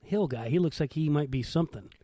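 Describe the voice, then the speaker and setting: A man's voice: on the high side, fast talker, mellow. An American man chatting casually as a sports talk co-host, informal and joshing in his manner. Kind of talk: casual chat, sports banter.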